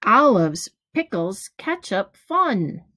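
Storybook reader: middle-aged woman, mid-pitched, unhurried, phrase-by-phrase delivery, dry close room sound. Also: big pitch swings, pushed and bright, no echo. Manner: slow, deliberate reading with pauses between phrases. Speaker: teacher reading a picture book aloud. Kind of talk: children's storybook read-aloud. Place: small room at home, indoors. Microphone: webcam or device at arm's length.

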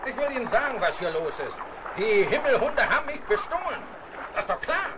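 A man's voice: extremely high pitch, very animated, fairly quick, very noisy. A man playing a tyrannical, suspicious ship's captain in a historical radio play, barking orders and sarcastic accusations.

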